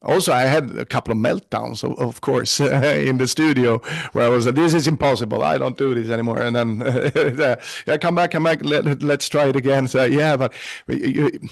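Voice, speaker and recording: A male actor from Sweden with a Swedish accent, answering interview questions in a halting way with many restarts. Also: medium pitch, vocal fry. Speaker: actor guest.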